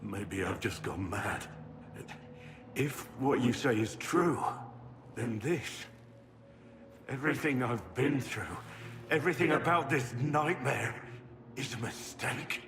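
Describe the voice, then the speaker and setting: An actor playing a broken, devout prisoner in a video game, weary, dazed and despairing. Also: male voice, mid-range voice, animated, slow pace, long pauses, long reverb tail, noisy background. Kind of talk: video game cutscene acting.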